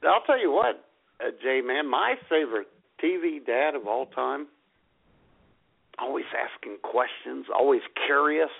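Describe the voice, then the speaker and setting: Male American internet radio co-host, gravelly, animated, casual comic banter over thin phone-like audio. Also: medium pitch, unhurried.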